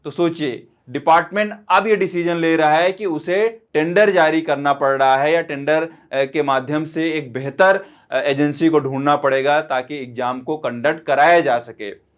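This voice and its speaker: A man's voice: fairly high pitch, moderate pace, clear, resonant tone. A man teaching as an exam coach, explaining step by step to students and often repeating key points with short check-in tags.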